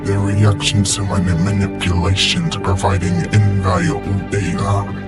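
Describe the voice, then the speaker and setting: A man playing a low, even-voiced alien machine mastermind from an audio drama. He is pompous and menacing, spacing out every word with slow, cold grandeur, sure of his own supremacy. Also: loud music behind the voice. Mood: haughty contempt. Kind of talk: audio drama villain monologue.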